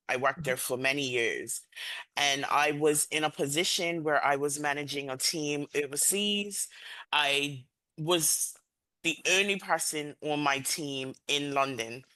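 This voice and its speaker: Podcast host, female: bright, forceful delivery, very dry sound, emphatic yet conversational interviewing. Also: very deep voice, no echo.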